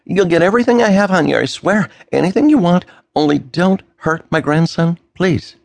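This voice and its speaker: A man's voice: mid-range voice, lively intonation, fairly quick, gravelly, no room sound, pitched above the performer's own voice. A man voicing a frightened older grandfather who speaks in an anxious, pleading way.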